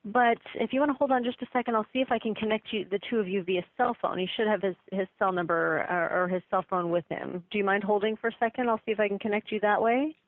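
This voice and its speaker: A woman's voice: mid-range voice, steady tone, fast talker, few pauses, dry recording. Courteous office woman on a tinny phone line. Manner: deferential, apologetic, formal courtesy. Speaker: receptionist or office assistant taking calls. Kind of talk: polite business phone call. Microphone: telephone.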